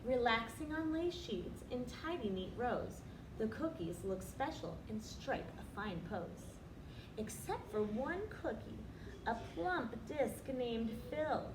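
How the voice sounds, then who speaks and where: Expressive woman storyteller in an echoey room. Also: a little high, slow pace. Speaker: storyteller reading aloud to children. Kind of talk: expressive rhyming picture-book reading with asides. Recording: roomy, distant, noisy recording.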